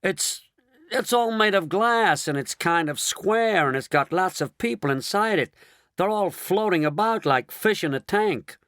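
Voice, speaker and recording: A panicky astronaut, high and insistent, swearing by what he sees, as a man acts him out. His voice swings widely, a storybook spaceman. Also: sing-song, moderate pace, fairly bright tone, no echo, studio quality. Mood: alarmed. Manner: alarmed and insistent. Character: panicky, excitable male astronaut.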